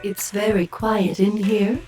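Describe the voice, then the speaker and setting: A woman acting a cold, commanding figure in an audio drama, speaking deliberately. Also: medium pitch, flat delivery, dark tone, faint music behind, natural voice, low-quality recording.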